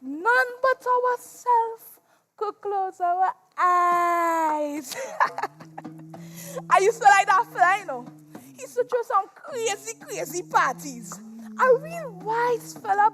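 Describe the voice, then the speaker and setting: A woman's voice: very high voice, very animated, slow pace, long pauses, bright, forceful tone, exaggerated voice. A Trinidadian woman playing an embittered former slave turned restless spirit, delivering a rhyming dramatic monologue on stage in a theatrical, anguished manner. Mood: wry, knowing. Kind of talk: dramatic spoken-word stage monologue in rhyme. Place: stage at a competition in a performance hall. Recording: live stage performance with music.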